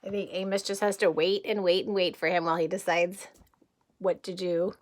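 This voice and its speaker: A woman teacher reading a picture book aloud to young children, expressive, with casual asides and questions to the listeners. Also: lowish voice, moderate pace. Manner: expressive, addresses children with questions and simple explanations.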